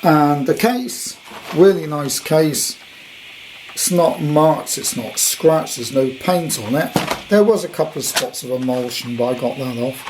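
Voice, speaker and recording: A British man in his sixties with a slightly high voice talks through an item in a casual show-and-tell. His delivery is chatty, unscripted and rambling, and there is faint noise behind him. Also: measured pace. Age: 60s. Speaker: radio collector presenting his item.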